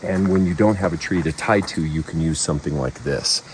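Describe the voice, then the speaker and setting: Very low-voiced man, a bit off-mic. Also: brisk, a little mellow. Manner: keeping voice low, plain-spoken. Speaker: outdoorsman guide, presenter of his camp. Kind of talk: casual walkthrough explanation. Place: outdoors at a backcountry camp. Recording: distant mic, outdoor background noise.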